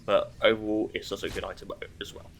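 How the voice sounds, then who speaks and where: A man's voice: on the low side, little pitch movement, fast, a bit bright, a little hiss or hum, low-quality recording. A man with a blocked nose from hay fever, giving casual, analytical solo commentary on a recorded esports match. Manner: casual, analytical, thinking aloud.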